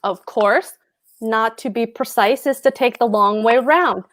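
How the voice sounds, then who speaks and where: A woman as a pompous, high-pitched husband. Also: unhurried, a bit bright, slight background noise. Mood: pompous, smug. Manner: pompous, emphatic, precise. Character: pompous, pedantic, blustering husband, a petty official. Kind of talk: stage comedy table read.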